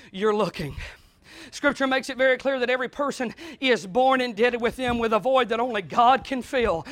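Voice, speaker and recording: A man preaching a sermon in a small church, dropping to quiet talk and then rising into shouted preaching. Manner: swings from low conversational talk to shouted preaching. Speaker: pastor.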